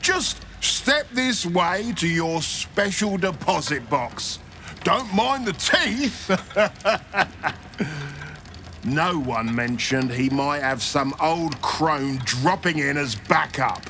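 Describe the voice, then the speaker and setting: A man's voice: fairly high pitch, very animated, takes it slowly, sharp tone, slight background noise, heavily acted. A man voicing a self-serving, greedy banker in a video game, with a wry, glib, sarcastic manner. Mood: mocking.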